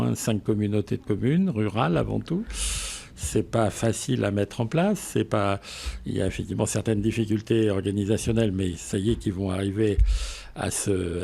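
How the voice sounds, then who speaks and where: An older man from France answering political interview questions as a local official, speaking haltingly and repeating words. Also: fairly low pitch, gravelly, warm tone.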